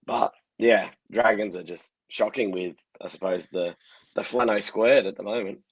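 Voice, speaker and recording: Aussie guy with a shot husky voice from yelling at the game, lowish and dry. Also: normal speed, no room sound, low-quality recording. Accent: Australian. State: losing his voice from yelling. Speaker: podcast guest. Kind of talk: casual post-match reaction.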